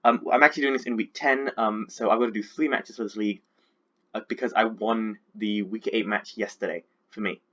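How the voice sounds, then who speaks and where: A man's voice: lowish voice, steady tone, a little fast, sharp tone, highs cut off. A man with a sore throat from being sick, chatting casually and rambling with lots of fillers as he breaks down his team. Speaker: competitive Pokemon league coach.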